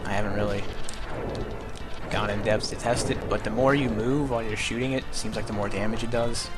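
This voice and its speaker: A young man with a lowish voice talks through his speedrun in a relaxed, chatty way, explaining enemies and weapons as he goes. Game music plays clearly under his stream mic. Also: brisk. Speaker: speedrunner playing live. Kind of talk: casual live commentary while playing.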